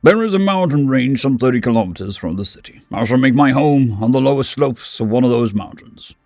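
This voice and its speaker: Man doing a huge bird-alien veteran, deep, clipped, cold, dry recording, faint music behind. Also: lively intonation, brisk, a little mellow, dry recording, lightly acted. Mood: stiff. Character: huge male bird-like alien ex-soldier, curt and disdainful.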